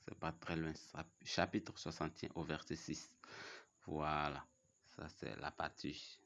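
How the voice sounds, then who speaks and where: A man with a cold giving a casual, challenging religious talk to his viewers, repeating himself and pausing often. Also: rumbling low, a little hiss or hum. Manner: challenging, repetitive, halting. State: has a cold. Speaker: religious video host. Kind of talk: casual religious preaching to camera.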